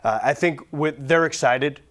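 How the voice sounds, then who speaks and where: A man's voice: fairly high pitch, normal speed, fairly bright tone. A man talking casually about sports as a commentator on a panel.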